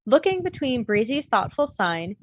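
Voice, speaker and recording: Fairly high-voiced woman reading stage directions. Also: big pitch swings, moderate pace, no echo. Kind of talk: scripted narration at a table read. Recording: dull, slightly lo-fi audio.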